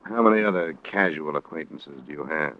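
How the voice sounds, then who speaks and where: An American actor playing a hard-boiled, world-weary insurance investigator in an old radio drama, with terse, dry, pointed delivery. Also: male voice, a bass voice, brisk, slight background noise. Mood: pressing, dry. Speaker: lead actor and narrator. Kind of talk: 1940s-50s radio detective acting. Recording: dull vintage radio recording with music.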